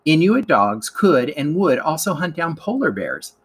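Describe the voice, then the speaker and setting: A man's voice: a little high, big pitch swings, unhurried, slightly rough. A man narrating a scripted educational lesson as a teacher reading aloud.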